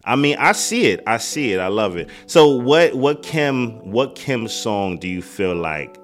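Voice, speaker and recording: A man's voice: lowish voice, big pitch swings, unhurried, faint music behind. A man hosting a casual podcast interview in a laid-back, slangy way, hyping up his guest. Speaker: podcast host.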